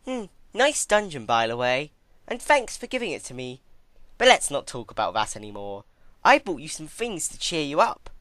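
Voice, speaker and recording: A man's voice: on the high side, sing-song, moderate pace, bright, forceful tone, no room sound, voice pushed up. A man voicing a young female pony tyrant, teasing and gloating in a mockingly cheerful way in a dramatic story reading. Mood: mock cheerful. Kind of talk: dramatic fan fiction reading.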